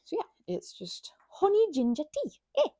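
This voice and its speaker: Female, chatty scatterbrained bestie for ASMR roleplay; mellow, gravelly voice, very animated delivery, playful rambling. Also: very high voice, unhurried, no echo, studio quality. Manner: rambling, playful, tangent-prone. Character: chatty, scatterbrained female best friend hosting tea. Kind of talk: ASMR roleplay, improvised casual chat.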